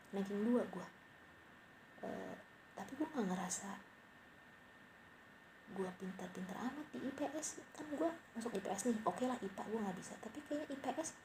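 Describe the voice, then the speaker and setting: A young woman rambling casually and mocking herself, sometimes dropping to a whispery aside. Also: mid-range voice. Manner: rambling, self-mocking, slangy. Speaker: podcaster. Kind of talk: casual late-night monologue. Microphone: cheap home mic. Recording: noisy home mic recording.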